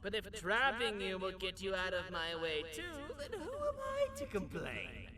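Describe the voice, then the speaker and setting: A man playing a theatrical, self-obsessed jester creature in a video game, desperate for laughs and swinging between hushed brooding and shrieking. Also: high-pitched, wildly swooping pitch, moderate pace, a loud crowd. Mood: gloating, manic. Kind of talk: video game villain monologue.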